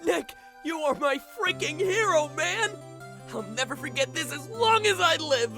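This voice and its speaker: A man performs a hapless, over-eager young guy in a cartoon parody. His voice sits high with a creaky edge, gushing and excitable over background music. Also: measured pace, exaggerated voice. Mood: overjoyed. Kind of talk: comedic parody voice acting.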